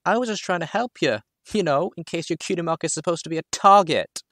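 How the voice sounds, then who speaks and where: A man's voice: on the high side, lively intonation, quick pace, a bit bright, voice pushed up. A man voicing an older schoolyard bully pony who taunts in a mocking, falsely sympathetic way for a storybook reading. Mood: mocking taunting.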